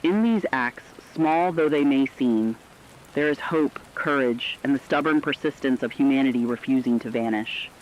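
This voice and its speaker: A man playing a thoughtful, earnest emergency radio correspondent in an apocalypse drama, with formal, measured delivery. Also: mid-range voice, dull audio, lightly acted. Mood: earnest, solemn. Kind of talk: scripted dramatic broadcast narration.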